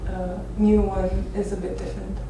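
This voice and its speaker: Female presenter from Romania, 30s, relaxed storytelling with hesitant phrasing; captured distantly in a reverberant venue with clear background noise. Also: mid-range voice, normal speed. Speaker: architecture photographer giving a conference talk. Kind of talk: informal presentation with personal stories. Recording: echoey noisy venue, distant microphone.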